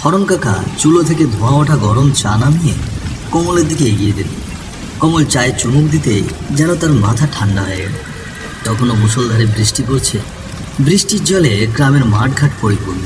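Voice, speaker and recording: A man's voice: mid-range voice, expressive, normal speed, music in the background. A man narrating a scripted ghost story in a dramatic, suspenseful storytelling manner. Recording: distant voice over noisy ambience.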